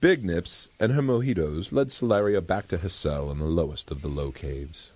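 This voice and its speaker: A man's voice: deep voice, moderate pace, darkish tone, a little hiss or hum. A man reading dramatic scripted narration for a fantasy audio drama.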